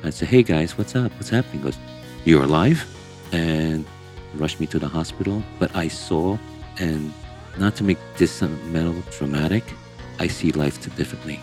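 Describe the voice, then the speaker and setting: Very deep-voiced man telling his story. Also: a little mellow, soft background music. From born in Hong Kong, raised in Brazil. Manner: earnest, emphatic, halting restarts. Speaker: guest angler. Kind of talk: candid interview storytelling.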